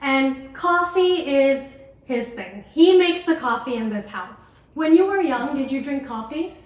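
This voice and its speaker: Brief: upbeat young woman vlog host, high voice, in a roomy home kitchen heard from a few feet off. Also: animated, a little slow, distant mic. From grew up in Indonesia, family from South India. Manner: upbeat, chatty, prompting questions. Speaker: vlogger host. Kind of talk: casual home cooking vlog chat. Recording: echoey room, voice from off mic.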